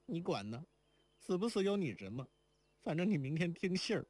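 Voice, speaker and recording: A male reader voicing a heartbroken young woman in tears, by turns pleading and sharp-tongued, in a storybook reading. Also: high-pitched, very animated, fairly quick, dry recording, pitched above the performer's own voice.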